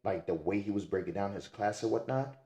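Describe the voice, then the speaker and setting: This young man talks in a relaxed, slang-heavy storytelling style, low-pitched with a dark, mellow tone. He tends to pad his sentences with "and whatnot". The room around him echoes. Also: brisk. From raised in the Middle East and North Africa. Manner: laid-back slangy storytelling, frequent "and whatnot". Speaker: podcast guest. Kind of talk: casual conversation.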